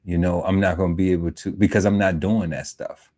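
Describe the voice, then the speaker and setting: Man, late forties, very deep voice, laid-back casual chat, lots of fillers. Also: level tone, dark tone. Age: 40s. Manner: laid-back, drawn-out talk with many fillers and restarts. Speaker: podcast panelist. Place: home podcast setup.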